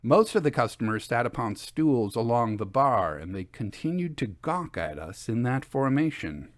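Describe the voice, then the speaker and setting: A male storyteller reads a literary first-person tale. His mid-range voice moves with lively, expressive intonation and measured phrasing. The recording is dry and close. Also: mid-range voice, dry recording.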